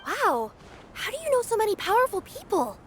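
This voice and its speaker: A woman's voice: extremely high pitch, huge pitch swings, a little fast, fairly bright tone, a little hiss or hum, full character voice. A woman voicing an earnest, naive young courier girl in an anime video game, with a girlish manner. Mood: amazed. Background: game music.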